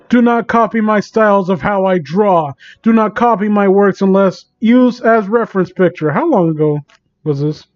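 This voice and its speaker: A man doing a casual livestream reading and commentary, exasperated, sarcastic and sweary, with a halting delivery. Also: high-pitched, animated, muffled recording. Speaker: live streamer reading and mocking online fan fiction.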